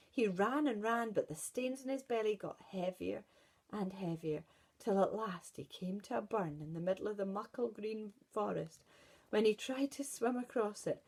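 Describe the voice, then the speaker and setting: A woman teacher with a Scottish accent reading a storybook aloud to pupils and asking gentle questions. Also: medium pitch, husky. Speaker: primary school teacher.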